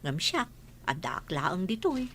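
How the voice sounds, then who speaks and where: A woman's voice: on the low side, very animated, unhurried, vocal fry, fairly bright tone, slight background noise, exaggerated voice. An actress playing a wistful, proud mother with old regrets in an audio drama. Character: wistful, proud mother who never finished school. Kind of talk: Ilocano audio drama acting.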